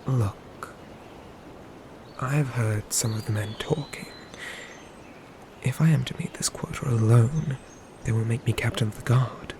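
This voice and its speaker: A man acts out a stern, ambitious knight in a medieval fantasy roleplay. His medium-pitched voice carries formal, old-world phrasing in a measured delivery, with audible ambient noise in the recording. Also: steady tone, natural voice. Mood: earnest. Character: dutiful, stern male knight, ambitious twin brother, protective. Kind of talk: scripted medieval fantasy audio roleplay, ASMR.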